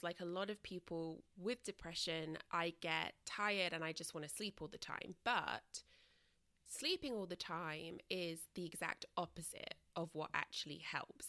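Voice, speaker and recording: A woman from Britain hosts a casual solo podcast, her voice a little congested and croaky. Her tone is bright and forward with a gravelly edge, set somewhat low. She rambles candidly and corrects herself with plenty of ums, recorded close and dry. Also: dry recording. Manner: chatty and candid, many ums and self-corrections. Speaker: podcast host.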